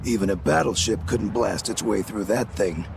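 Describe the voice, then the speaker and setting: An actor playing a rough, plain-spoken seafaring man in a video game. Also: on the low side, normal speed, a little hiss or hum. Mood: grave. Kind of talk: English video game dub acting.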